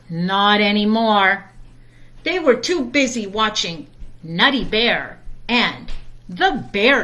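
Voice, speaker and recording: A middle-aged woman reading a children's storybook aloud in an expressive way, with chatty asides. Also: on the high side. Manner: chatty, expressive, easily distracted by greetings. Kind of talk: animated children's storybook read-aloud with casual bilingual asides.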